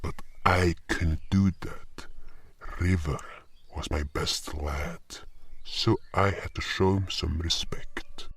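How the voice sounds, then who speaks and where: A man narrating and playing a gruff, impatient beast hunter in a fan audio drama. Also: low voice, no room sound, heavily acted. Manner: slow deliberate storytelling, louder and excited in dialogue. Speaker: narrator and lead character.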